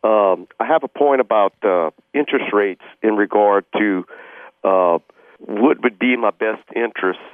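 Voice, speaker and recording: A sixty-five-year-old man with a drawl calls in over a thin, tinny phone line. His voice sits fairly low, and he gropes for words with frequent "uh"s and the odd throat clearing. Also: no room sound. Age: 60s. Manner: hesitant, many "uh" fillers, throat clearing. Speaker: caller. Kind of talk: call-in question on a radio show.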